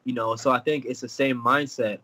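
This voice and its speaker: A man from Florida, a fighter guest, talking on a video call with a stuffy cold and telling animated, joking stories. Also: medium pitch, a little fast. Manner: animated, joking, laughing storyteller. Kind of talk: casual interview over video call.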